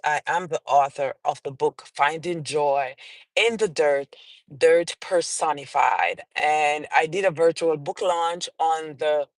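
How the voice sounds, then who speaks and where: Jamaican lady on a livestream, talks real slow, dry close sound. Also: sits low, no echo. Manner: slow and unhurried, repeats and restarts phrases, greets live viewers. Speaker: author hosting a livestream. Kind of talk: casual livestream Q and A.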